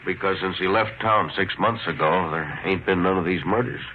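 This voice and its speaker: Low folksy small-town gossip guy, bad grammar, blunt, 50s radio drama, very hissy. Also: normal speed, narrow, tinny audio. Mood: ominous. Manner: folksy, ungrammatical speech. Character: rustic, blunt, gossiping townsman, folksy and deferential. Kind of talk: 1950s radio mystery acting. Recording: thin, noisy old radio recording.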